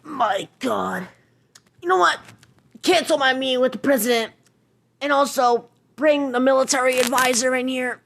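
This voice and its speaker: A woman playing an impatient male American president in an amateur radio-style drama, with a creaky voice. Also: high-pitched, normal speed, voice pushed up. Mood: alarmed, commanding.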